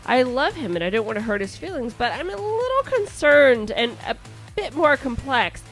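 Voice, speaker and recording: A woman with a slightly high voice and a Midwestern accent hosts a comedy podcast, reading a listener letter and chatting loosely with many restarts. Faint music sits under her voice. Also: normal speed. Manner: animated, lots of restarts and asides. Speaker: podcast host.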